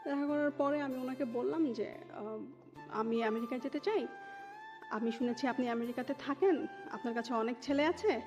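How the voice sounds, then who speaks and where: A woman's voice: high voice, moderate pace. A woman in her thirties with a Bangladeshi accent, telling her life story as an interview guest and dropping English words into her talk. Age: 30s. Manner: mixes English words into Bengali. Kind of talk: personal life storytelling in conversation. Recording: dull lo-fi audio with music behind. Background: music.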